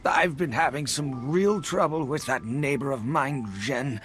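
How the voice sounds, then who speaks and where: A man's voice: medium pitch, unhurried, a bit bright, faint music behind, natural voice. An actor playing a fretful, grumbling old village man in a video game. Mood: aggrieved. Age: older.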